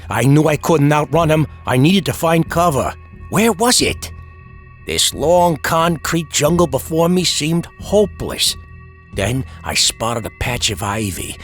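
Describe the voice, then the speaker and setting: Streetwise first-person horror narrator played by a man: wry, dramatic, very varied intonation, soft music bed. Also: mid-range voice. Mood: tense, suspenseful. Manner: dramatic, wry asides, shifting emphasis. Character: Butch, streetwise man recounting his frightening boyhood night.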